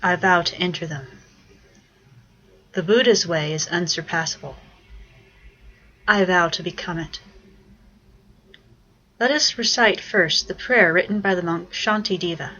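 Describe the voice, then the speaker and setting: Lower-voiced woman guiding a meditation. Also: measured pace, long pauses, bright, forceful tone, highs cut off. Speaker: guided meditation leader. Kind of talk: slow guided meditation narration.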